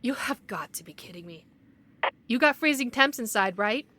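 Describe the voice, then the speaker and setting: A stern female city official, acted by a woman in an audio drama. Her voice is on the high side, measured and authoritative, with noticeable ambient noise behind it. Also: lively intonation, close to an everyday voice. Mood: annoyed, commanding.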